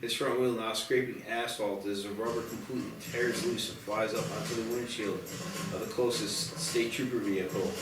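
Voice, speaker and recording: A man's voice: mid-range voice, far from the mic. A man reading scripted action and stage directions as narrator at a screenplay table read. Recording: roomy, off-mic, music behind.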